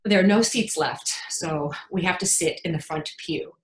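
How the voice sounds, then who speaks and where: A woman playing an anxious, protective mother in a frank, wry, confiding dramatic monologue, pausing for emphasis. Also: on the low side, natural voice. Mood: earnest, anxious. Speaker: actor in a readers theatre performance. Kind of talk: dramatic stage monologue, conversational storytelling. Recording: echoey room sound.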